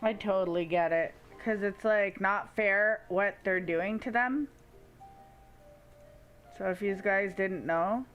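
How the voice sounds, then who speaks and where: A woman's voice: medium pitch, slow pace, a bit bright, highs cut off, faint music behind. A woman live-streaming a game, chatting casually with her viewers.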